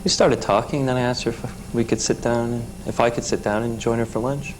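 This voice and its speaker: A man in his twenties with a Canadian accent, a talk show guest telling his story haltingly, with many restarts. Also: mid-range voice, steady tone, slight background noise, rough recording. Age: 20s.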